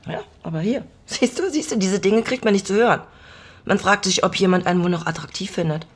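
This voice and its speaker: Actress as a husky, disillusioned middle-aged woman. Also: medium pitch, lightly acted. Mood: wistful, frank. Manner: halting, reflective, blunt. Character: lonely, disillusioned married mother, frank and longing. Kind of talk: radio drama acting.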